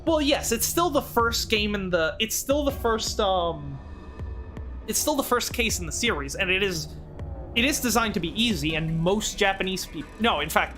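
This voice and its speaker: A man's voice: sits high, expressive, normal speed, over music. A man hosting a let's play, talking casually with frequent swearing, stammering restarts and laughter. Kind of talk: casual gameplay commentary.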